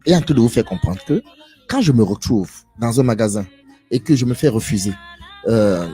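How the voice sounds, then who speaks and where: A man's voice: medium pitch, lively intonation, normal speed, dark tone, slightly rough, soft background music. A man giving an impassioned live monologue to viewers, emphatic and preachy, repeating phrases and asking rhetorical questions. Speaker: live stream speaker.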